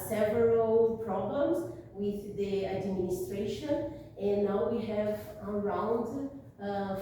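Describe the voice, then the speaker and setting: A woman in her forties with a Brazilian accent, speaking to a business audience about immigration, halting and searching for words, a little under the weather with a cold. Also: medium pitch, dark tone, a little hiss or hum. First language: Portuguese. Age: 40s. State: has a cold. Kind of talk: business talk to a live audience. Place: meeting room with an audience. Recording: echoey room, voice from far off.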